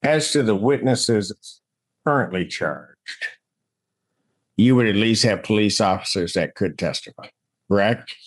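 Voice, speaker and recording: A man speaks as a judge in a court hearing. His voice is low, and he explains procedure in plain words. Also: a little slow, pauses often.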